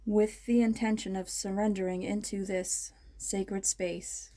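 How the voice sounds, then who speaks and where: A woman leading a guided meditation in an ASMR style, speaking in a ceremonial, measured and soothing manner. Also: mid-range voice, little pitch movement, mellow.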